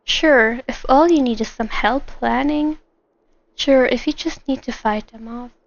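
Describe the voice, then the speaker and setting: A woman chats casually through a game stream. Her voice is high and animated, and she rambles as she comments. The audio is a little dull. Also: a little slow, creaky, a little hiss or hum. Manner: animated, rambling, acts out imagined lines. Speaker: streamer, gamer.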